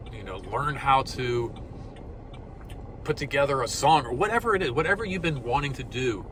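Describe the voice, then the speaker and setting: A man's voice: medium pitch, recorded from a distance, background noise. A man in his forties talking in a casual motivational vlog, upbeat and rambling, with lots of fillers. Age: 40s. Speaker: vlogger.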